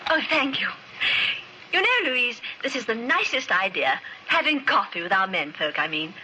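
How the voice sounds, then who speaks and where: A genteel society lady in a vintage radio play. Her fairly high voice swoops effusively and archly through a clearly noisy old recording. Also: sing-song, normal speed. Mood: chatty, pleased. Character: gushing, genteel society lady dinner guest. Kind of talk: old-time radio drama acting.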